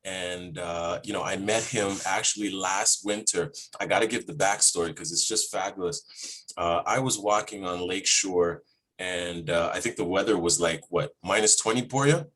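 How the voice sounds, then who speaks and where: Low, husky-voiced male podcast host. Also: normal speed, fairly bright tone. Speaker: podcast host interviewing a guest. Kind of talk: casual conversational interview.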